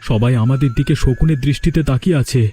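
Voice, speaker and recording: A man's voice: mid-range voice, quick pace, dark tone, slight background noise, lightly acted. A man voicing a poor, humble male yogurt seller in a cartoon, with a deferential, plaintive manner. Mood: dejected. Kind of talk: cartoon character dialogue.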